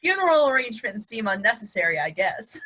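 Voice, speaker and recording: A woman's voice: fairly high pitch, very animated, brisk, sharp tone, slightly rough, heavily acted. A woman playing a sarcastic, wisecracking young character in a comic play read aloud. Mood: flippant. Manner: sarcastic, quippy. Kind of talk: table-read stage comedy acting.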